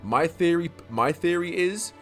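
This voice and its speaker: A man talking through game-lore theories in a casual vlog. He stutters and repeats himself, restarting words and rambling on quickly. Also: fairly high pitch, lively intonation, fairly bright tone, faint music behind. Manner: talks quickly. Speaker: YouTube lore creator.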